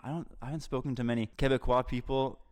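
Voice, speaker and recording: American man, podcast guest, casual and rambling, hedges a lot, smooth clear voice, mid pitch. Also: fairly even intonation, fast. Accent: standard American. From Atlanta, US Southeast. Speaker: podcast guest, environmental lawyer. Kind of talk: conversational podcast interview.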